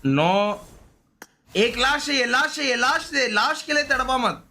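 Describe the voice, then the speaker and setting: A man's voice: extremely high pitch, lively intonation, moderate pace, sharp tone. A man giving casual, slangy live gaming commentary, often repeating short pleading phrases. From India. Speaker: gaming streamer.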